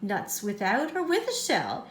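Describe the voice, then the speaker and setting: A woman's voice: mid-range voice, wildly swooping pitch, unhurried, a little hiss or hum. A woman reading a picture book aloud, constantly breaking into giggles and making teasing asides. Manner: constant giggling, cracks up between lines. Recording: roomy, echoey sound.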